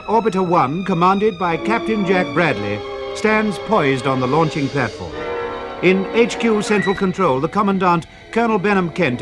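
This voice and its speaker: A man narrating a 1950s radio space adventure as a grave, declamatory announcer. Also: sits high. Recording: old 1950s radio broadcast over music.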